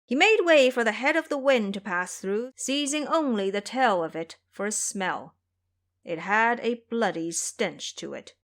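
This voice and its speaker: A woman's voice: fairly high pitch, animated, measured pace, a bit bright. A woman narrating a scripted fantasy tale as a dramatic storyteller.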